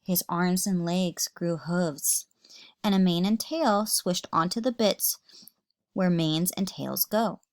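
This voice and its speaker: A woman's voice: lowish voice, slow pace, no room sound, studio quality. A woman teacher reading a children's story aloud expressively, addressing young listeners.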